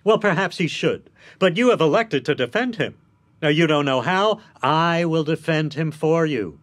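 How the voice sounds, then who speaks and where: Radio drama role: blunt, articulate male defence lawyer, higher-than-average voice, very lively intonation, emphatic and lecturing. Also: moderate pace. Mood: blunt, firm.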